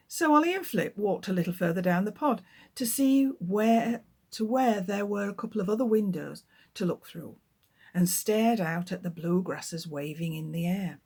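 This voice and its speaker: Husky-voiced woman reading a children's story. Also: fairly low pitch. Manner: lively, expressive storytelling. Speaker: children's author. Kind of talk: reading her book aloud.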